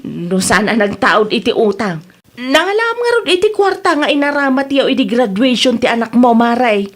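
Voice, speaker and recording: A woman playing a grumbling, high-voiced Ilocano housewife. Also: very animated, moderate pace. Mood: grudging. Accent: Filipino, Ilocano. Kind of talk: Ilocano radio comedy drama acting.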